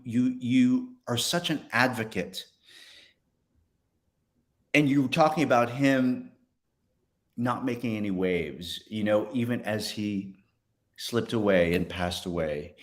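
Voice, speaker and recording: A man interviewing in a casual, personal way, effusive and encouraging, often restarting his phrases as he goes. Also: mid-range voice.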